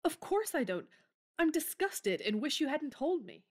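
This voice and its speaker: A woman's voice: sits high, big pitch swings, moderate pace. A woman playing a spirited, tomboyish young woman in an audio drama, speaking in a forthright, emphatic way. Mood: indignant. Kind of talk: theatrical audio drama acting.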